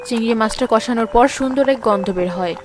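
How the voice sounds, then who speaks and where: A woman's voice: on the high side, fast, noisy background. A woman reading scripted third-person narration for a cartoon story.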